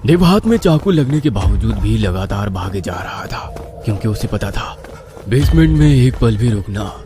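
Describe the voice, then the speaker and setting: A man narrating a scripted horror cartoon story. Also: lowish voice.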